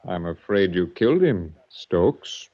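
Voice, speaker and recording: An actor playing a smug, menacing villain with mocking courtesy in a 1940s radio mystery. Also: male voice, deep voice, very animated, takes it slowly, warm tone, heavily acted. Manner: mockingly courteous, taunting, articulate. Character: smooth, smug, menacing villain framing his captive. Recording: dull vintage 1940s radio recording.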